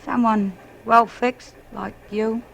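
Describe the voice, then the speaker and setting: A woman's voice: a little high, fairly even intonation, takes it slowly, gravelly, a bit bright, slight background noise, full character voice, poor audio. An actress playing a weary, fussy working-class English widowed mother in an old radio drama, deferential and folksy. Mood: wistful, weary. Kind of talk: 1940s radio acting.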